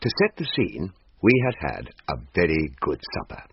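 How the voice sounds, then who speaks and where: A man playing a pompous, aggrieved Victorian gentleman who narrates a ghost story in a florid British manner. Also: very deep voice, huge pitch swings, moderate pace, vocal fry, husky, no room sound, lo-fi sound, exaggerated voice. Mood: wry, genial.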